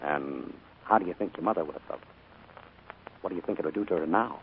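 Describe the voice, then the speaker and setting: A man's voice: sits low, quick pace, gravelly, a little hiss or hum. A man playing a charming but sinister middle-aged uncle in a 1940s radio drama, his coaxing voice carrying a menacing undertone. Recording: narrow 1940s radio broadcast.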